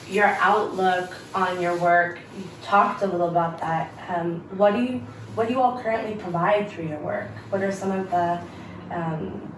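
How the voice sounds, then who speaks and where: Roomy, distant-sounding woman host, informal. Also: fairly low pitch. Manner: informal, folksy y'all address. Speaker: host and interviewer. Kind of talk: casual spoken introduction and interview. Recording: roomy, distant mic with faint noise.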